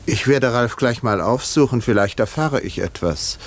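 A man playing a polite, discreet village doctor and narrator in a 1950s German radio play, speaking in a measured, articulate way. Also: medium pitch, expressive. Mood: polite, puzzled.

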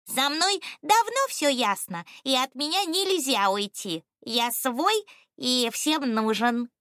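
A high-pitched, excitable male ostrich from a children's storybook, acted out by a woman. He is nervous and suspicious, yet stubbornly self-important. His blunt, emphatic speech swoops up and down, recorded dry and close. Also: slow pace, dry recording, heavily acted.

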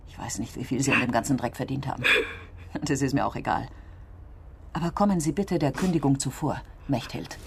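An actress playing a sharp, determined female politician in a radio crime drama, questioning in a probing, insistent way. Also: rumbling low, brisk, vocal fry, a little hiss or hum. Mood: accusing, stern.

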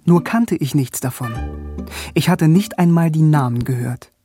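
An actor playing a bookish man wryly recalling his teenage years in a radio drama, in a measured, reflective way. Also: a little high, dark tone, soft background music, natural voice. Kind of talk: radio drama first-person narration and scenes.

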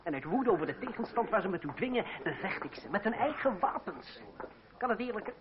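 High-voiced, sardonic young duellist. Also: male voice, very animated, a little fast. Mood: earnest, proud. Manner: theatrical, sardonic, mock-courteous. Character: mocking, razor-tongued young French revolutionary lawyer and duellist. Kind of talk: period radio drama acting. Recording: dull, lo-fi old radio play, crowd behind.

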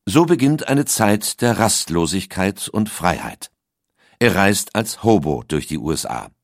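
A man with a very deep voice narrates a documentary script. His delivery is measured and steady, recorded close on a studio mic. The sound is dry and has no room echo.

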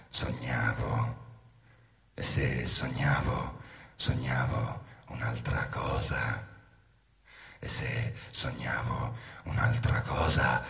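Very deep, gravelly, sinister soldier. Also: male voice, fairly bright tone, roomy sound, full character voice. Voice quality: gravelly, low, breaking into whispers. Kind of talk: experimental theatrical monologue.

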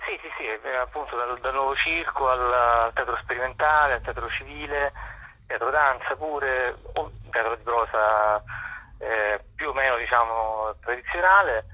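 A man giving unscripted, halting answers as a guest in a radio interview, searching for words. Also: medium pitch, pushed and bright, no room sound, rough recording. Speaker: theatre festival director, interview guest.

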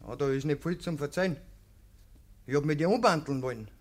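An actor playing a brazen young village lad in a German radio play, defensive and self-justifying, in Bavarian dialect. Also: male voice, fairly high pitch, fairly quick, natural voice. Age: young adult. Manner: hedging, defensive.

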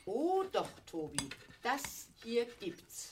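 A woman playing a kindly, dreamy, apologetic mother in a comic radio play, speaking gently and earnestly. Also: a little high, huge pitch swings, slow, heavily acted. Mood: dreamy, mild. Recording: household clatter and background noise.

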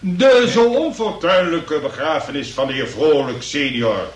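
A man's voice: on the high side, animated, unhurried. A man acting a pompous, long-winded examining magistrate in a comic radio play, speaking in ornate legal phrases. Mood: self-assured. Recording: noisy, echoey room, distant from mic.

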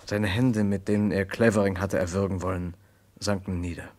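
An actor playing an earnest, gentlemanly narrator in a radio crime play, with a measured, formal manner. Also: male voice, fairly low pitch, lightly acted. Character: gentlemanly male narrator, earnest, curious friend of the detective.

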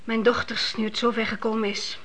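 An actress playing a God-fearing older widowed mother in a biblical radio play, speaking solemnly and gravely in grief. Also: fairly high pitch, flat delivery, brisk, fairly bright tone, close to an everyday voice. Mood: grief-stricken, solemn. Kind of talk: Dutch radio play acting.

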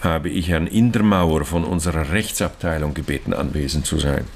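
A courteous Swiss banker in a radio crime play. His voice is extremely low and gravelly, and he picks his words formally, with fussy hesitations. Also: slight background noise. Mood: measured, explanatory. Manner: formal, circumlocutory, hesitant with pauses. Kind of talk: radio crime drama acting.

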